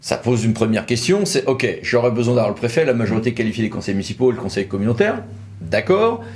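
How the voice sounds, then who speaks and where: A man with a mid-pitched voice explains legal material like a lawyer talking it through with a colleague. He is didactic yet conversational, often posing questions to himself as he reasons aloud. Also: big pitch swings, fast talker.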